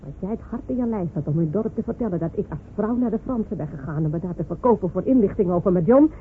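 An actress playing a gruff, fierce village woman with a brusque, colloquial way of talking, in a radio drama. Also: mid-range voice, expressive, fast, natural voice. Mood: stern, threatening. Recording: tinny, noisy old radio recording.

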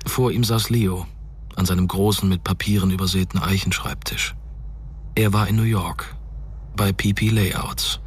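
An actor as the detached, sober narrator of a dystopian radio drama, reading a scripted story. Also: male voice, low voice, fairly even intonation, measured pace, a little hiss or hum. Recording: music under the voice.

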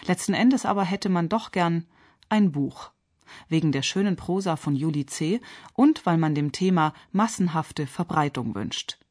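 A woman radio critic reading a scripted theatre review in an articulate, measured and pointed manner. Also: on the low side, lively intonation, darkish tone.

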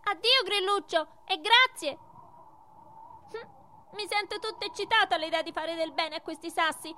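Very high, whiny little puppet child. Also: female voice, normal speed, sharp tone, a little hiss or hum. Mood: eager. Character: whimpering, naive puppet child eager to do good. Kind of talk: theatrical radio play acting.

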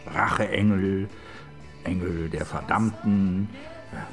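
A man's voice: sits low, slow, faint music behind. A man playing a detective's inquisitive, teasing confidant in a radio crime drama, probing and playful. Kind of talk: naturalistic German radio drama dialogue.

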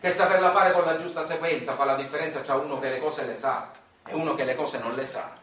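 A man's voice: on the high side, fairly even intonation, quick pace, fairly bright tone, natural voice. An actor playing a folksy, garrulous Sicilian man in a theatrical monologue, with a colloquial, repetitive and emphatic way of talking and a Sicilian accent. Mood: fatherly, boastful. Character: folksy, garrulous Sicilian man explaining recipes to his son. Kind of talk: theatrical storytelling monologue in dialect-laced colloquial speech. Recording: roomy, distant stage recording with faint noise.

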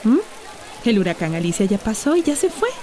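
A woman's voice: medium pitch, sing-song, a little fast, dark tone, close to an everyday voice. An actress playing a resolute, protective mother in a radio soap, with a Central American Spanish accent. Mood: soothing. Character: resolute, protective mother in a storm-hit town. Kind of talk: radio soap opera acting.

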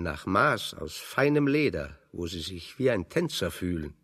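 An actor playing a wry, pessimistic exiled intellectual in a German radio drama, lecturing with pointed irony. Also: male voice, medium pitch. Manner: ironic, discursive, pointed.

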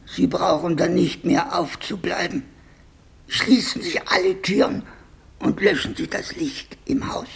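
An actor playing a fearful, resigned old English lord with a thin, quavering voice in a 1950s German radio play. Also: male voice, high-pitched, steady tone, measured pace, vocal fry, exaggerated voice. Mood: resigned, grave. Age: older. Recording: old radio recording, faint hiss.

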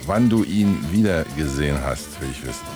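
A man's voice: extremely low pitch, animated, fairly quick, music in the background. A man playing a sardonic, insistent old friend in a radio drama dialogue. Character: nagging, sardonic male old friend of the narrator.

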